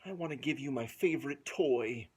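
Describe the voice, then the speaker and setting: A man's voice: medium pitch, moderate pace, darkish tone, husky, close to an everyday voice. A man voicing an eager, well-meaning but easily tempted pet dog in a picture book, speaking earnestly with stretched-out words. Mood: sorry.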